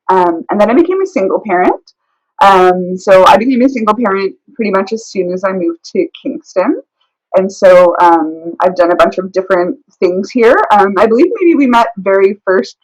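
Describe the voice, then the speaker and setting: A woman being interviewed as a business owner, chatting casually and pausing often to think. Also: mid-range voice, low-quality recording. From born in Thailand, raised travelling the world. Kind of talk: casual chat.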